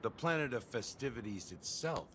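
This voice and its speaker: An actor playing a grave, knowing man who recounts history in a measured, deliberate way for a video game. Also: mid-range voice, expressive, pushed and bright, a little hiss or hum. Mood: grave, insinuating. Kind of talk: dramatic scripted exposition.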